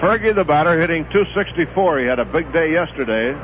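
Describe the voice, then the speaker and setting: A middle-aged man calls a baseball game live on the radio, in a steady, relaxed rhythm that lifts when a play heats up. His voice sits a little high. The sound is a dull, muffled 1970s radio broadcast with clear hiss underneath. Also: brisk. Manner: steady relaxed play-by-play, rising excitement on big plays.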